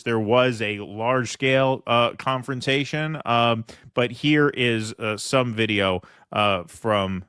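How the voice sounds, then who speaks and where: A man's voice: mid-range voice, a bit bright, smooth, dry recording, studio quality. A man hosting a political commentary show, reading news reports aloud and commenting with frequent fillers.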